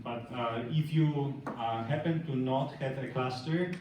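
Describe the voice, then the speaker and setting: Polish-accented man, middle-aged, halting speech full of ums, distant from the mic, echoey hall. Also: medium pitch, noisy background. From Poland. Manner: hesitant, many fillers and restarts. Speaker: tech speaker. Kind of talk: meetup workshop talk. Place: large meeting room. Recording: echoey room, speaker off-mic.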